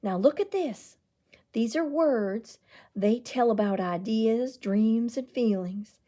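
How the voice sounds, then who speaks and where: A woman's voice: on the high side, slow, dark tone. A woman voicing a feisty, wistful old aunt in a storybook, with a folksy, emphatic way of talking. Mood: earnest, wistful. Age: older. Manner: folksy, emphatic, scolding and tender by turns. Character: feisty, indignant, wistful old aunt who loves books.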